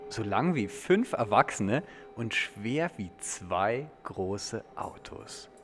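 A man acting an eager, excitable young dinosaur enthusiast in a youth radio play, explaining things dramatically. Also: lowish voice, slow pace, soft background music. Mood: eager, awed. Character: eager, excitable young male dinosaur fan named Michel. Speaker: actor in an educational audio drama.

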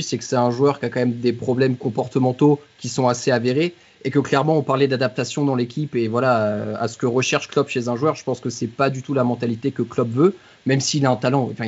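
A man's voice: medium pitch, darkish tone, slightly rough, dull audio. A man hosting a football podcast, giving views and passing questions to guests. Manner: hands over questions to guests. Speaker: podcast host. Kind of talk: moderating a podcast.

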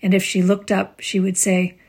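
A woman reciting poetry as a community reader, in a measured, literary reading style. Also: mid-range voice, completely flat delivery, creaky, darkish tone, slightly rough.

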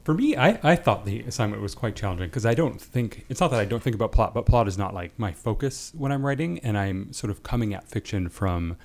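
A man's voice: fairly low pitch, expressive, fairly quick, creaky, hardly any pauses. A man speaking casually as a guest writer, with a tentative, hedging way of explaining himself. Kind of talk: casual conversation.

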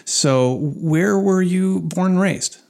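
A podcast host asking a guest questions in a curious, unhurried way. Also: mid-range voice, creaky, a little mellow. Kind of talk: interview conversation.

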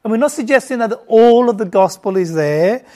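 A woman's voice: on the high side, expressive, a little fast, a little mellow. Woman teaching Bible, chatty. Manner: informal, stumbling restarts, asks the audience questions. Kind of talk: conversational Bible teaching to a group.

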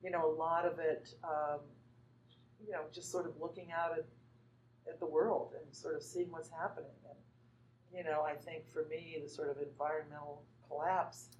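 A woman from Northern California talks about her art in a low, unhurried register. She wanders through her thoughts with many fillers and restarts. There is a faint bit of noise behind her voice. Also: level tone, darkish tone, low-quality recording. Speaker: artist. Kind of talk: conversational artist talk.

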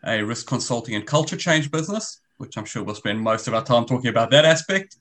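A South African man in his forties talks on a remote call, his voice pitched in the ordinary middle range for a man. He explains in long, winding runs and often restarts his sentences. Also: expressive, fairly quick, fairly bright tone. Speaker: guest, risk business founder. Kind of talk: conversational interview.

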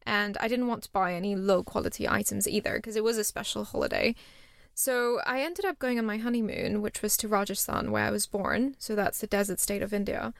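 A woman's voice: mid-range voice, brisk, vocal fry, no room sound, clean studio sound. A woman in her thirties, raised in Singapore, chatting casually as a guest in an interview and often laughing at herself. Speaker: startup founder, guest.

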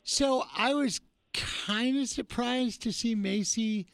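A man's voice: extremely high pitch, slow pace, vocal fry, clear, resonant tone, no room sound. A man hosting a radio talk show, chatting casually and telling jokey stories. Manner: lively storyteller, jokey. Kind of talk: casual chat.

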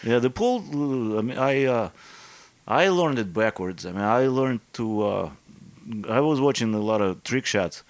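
A man in his fifties with a Russian accent, talking as a podcast guest in a halting, modest way with many fillers. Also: medium pitch, animated, dry recording. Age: 50s. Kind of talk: casual interview chat.

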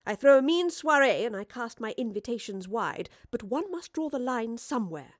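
A woman's voice: fairly high pitch, expressive, normal speed, no echo, clean studio sound, voice pushed up. A woman voicing a bold, witty female professor and adventurer with an arch, genteel, commanding manner in an audio drama. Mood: indignant.

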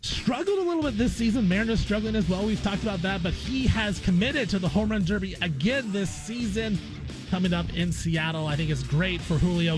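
A man hosting a sports talk radio show, giving opinionated commentary in a conversational way. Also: high-pitched. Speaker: sports radio guest host. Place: radio studio.

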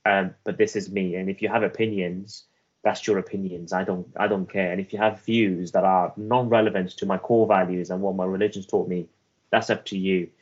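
Deep-voiced man with a Welsh accent. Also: steady tone, slightly rough, highs cut off. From Afghanistan. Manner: earnest, halting, with many restarts and fillers. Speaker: interview guest. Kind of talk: reflective personal storytelling.

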